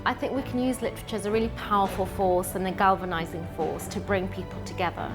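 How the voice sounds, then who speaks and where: A woman's voice: mid-range voice, steady tone, fast. A woman speaking earnestly in a documentary interview about reading and her city. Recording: music playing behind.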